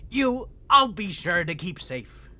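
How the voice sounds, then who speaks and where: A man's voice: high voice, very animated, takes it slowly, pushed and bright, full character voice. A man voicing an unhinged, ancient imprisoned vampire who is flirtatious, needy and manipulative, talking in a theatrical, rambling, teasing way in an audio roleplay. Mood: flippant, menacing. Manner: theatrical, rambling, teasing, swinging between smug and pleading. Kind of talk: dramatic character audio roleplay.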